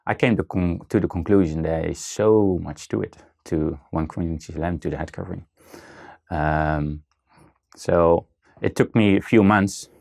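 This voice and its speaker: A man's voice: low-pitched, moderate pace, gravelly, a little mellow. A man in his thirties with a Dutch accent, speaking as an interview guest in a hesitant way, with many fillers and restarts. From Netherlands. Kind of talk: casual interview talk.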